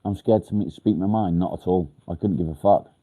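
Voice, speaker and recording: A low-voiced man chats bluntly with his live viewers, going straight to the point. His tone is deeply mellow and dark, and a blocked nose makes him sound nasal and stuffy. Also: steady tone, a little fast. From England. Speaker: livestream host. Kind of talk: casual live chat answering viewer comments.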